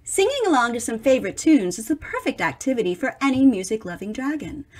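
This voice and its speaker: A woman's voice: fairly high pitch, very animated, fast talker, a little mellow. A middle-aged woman reading a picture book aloud expressively, theatrically stretching words and adding chatty asides for young listeners. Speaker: children's storybook reader.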